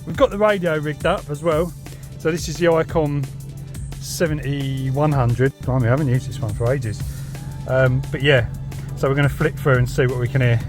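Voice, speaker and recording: A man's voice: mid-range voice, fairly even intonation, normal speed, music in the background. A man with a southern English accent chatting casually and enthusiastically in a matey, informal way, as a hobbyist vlogger out by his car. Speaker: YouTube vlogger and radio hobbyist. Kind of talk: casual chat while demonstrating and talking on CB radio. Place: in and around a parked car outdoors.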